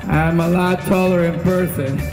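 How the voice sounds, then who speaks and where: A man voicing a giant, cocky celebrity puppet that talks slowly, in a comedic puppet show. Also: high voice, fairly even intonation. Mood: smug. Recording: echoey hall reverb with music.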